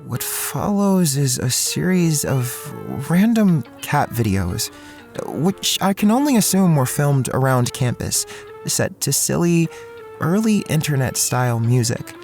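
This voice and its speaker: A man's voice: mid-range voice, creaky, a little mellow. Nervous awkward college kid narrating his own audio drama, medium voice, music underneath. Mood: wry, observant. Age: young adult. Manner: hesitant, stammering in dialogue. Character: Jay, awkward anxious young male college freshman. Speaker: narrator and main character.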